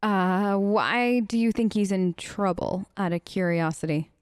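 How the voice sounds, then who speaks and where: A woman's voice: mid-range voice, fairly even intonation, unhurried, clear, resonant tone, no room sound, clean studio sound. A woman hosting a role-play video game let's play, chatty and skeptical, improvising casual replies in character. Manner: skeptical, chatty, playfully questioning. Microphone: close, dry microphone.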